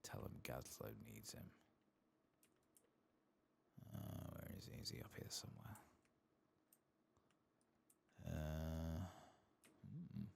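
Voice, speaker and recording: Very deep, soft-spoken gravelly man. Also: sing-song, takes it slowly, pauses often, slight background noise. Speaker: gaming ASMR streamer. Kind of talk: soft-spoken, whispered ASMR game commentary.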